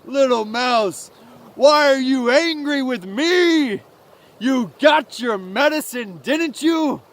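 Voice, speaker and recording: A man voicing a calm, sly, wise frog in a story, speaking in a measured, coaxing way. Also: extremely high pitch, voice pushed up, heavily acted. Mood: amused, gentle.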